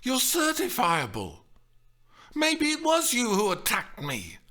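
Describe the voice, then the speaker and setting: A male audiobook reader plays an outraged, sharp-tongued woman. The voice is pitched high and forceful, leaping about with incredulity and scathing indignation. It is full storybook drama, exclamatory throughout. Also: huge pitch swings, takes it slowly, full character voice.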